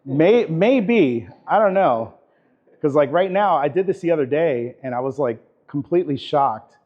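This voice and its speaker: A man teaching a live workshop class in a camera store, talking in a relaxed, chatty way with lots of fillers. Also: a little high, measured pace. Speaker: workshop instructor. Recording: dull lo-fi recording.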